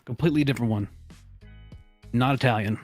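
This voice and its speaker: A man's voice: medium pitch, a little fast, soft background music. A man hosting a comedy advice segment, chatting and reading aloud in a blunt, profane, mock-serious way. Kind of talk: casual, joking group chat and reading aloud.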